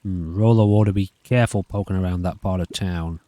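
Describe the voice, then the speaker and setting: A man's voice: sits low, fairly even intonation, a little fast, dark tone, dry recording, lightly acted. A man voicing a pompous, cryptic small-town newspaperman in a story game. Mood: pompous, ominous.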